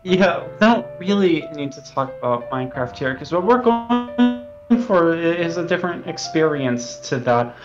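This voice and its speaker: Earnest, halting man with slightly dull sound. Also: a little high, big pitch swings, music in the background. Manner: earnest, self-serious, halting. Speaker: game developer being interviewed. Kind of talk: mock interview.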